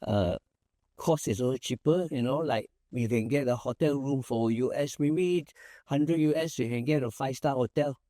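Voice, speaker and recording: Easygoing Malaysian man, mellow voice. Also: medium pitch, moderate pace, no room sound, studio quality. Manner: easygoing, rambling, many fillers and restarts. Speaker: managing partner of a small firm, guest. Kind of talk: casual interview answers.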